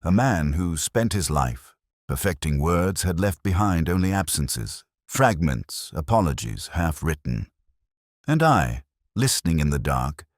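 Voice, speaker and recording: A man reading a literary detective story in the first person, with a measured, solemn and reflective delivery. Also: deep voice, no room sound, studio quality. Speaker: audiobook narrator.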